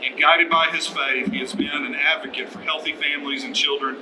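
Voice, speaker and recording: A middle-aged American man hosting a public announcement, speaking in a halting, enthusiastic way. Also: fairly high pitch, steady tone. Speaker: event host, organization leader. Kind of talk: live introduction speech at a public announcement. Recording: echoey room with clear background noise.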